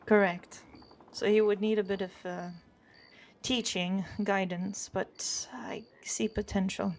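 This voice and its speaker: A woman playing a composed, guarded Old West photographer and fixer in improvised roleplay, speaking in a measured, careful way as a non-native English speaker. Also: medium pitch, fairly even intonation, a little mellow. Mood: measured, hesitant. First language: not English. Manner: measured, careful word choices.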